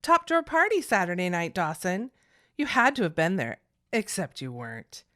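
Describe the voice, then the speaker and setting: An actress playing a snobbish, condescending high school bully in a period audio drama, haughty and theatrical. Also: female voice, wildly swooping pitch, normal speed, a bit bright, slight background noise. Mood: gloating, condescending.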